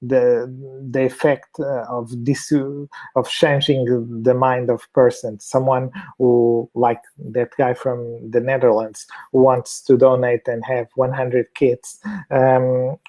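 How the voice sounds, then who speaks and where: A man's voice: mid-range voice, level tone, slow pace, warm tone, rough recording. A man with a Portuguese accent giving a webinar presentation over a video call, hesitant, with many fillers and restarts. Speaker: fertility clinic doctor, webinar speaker.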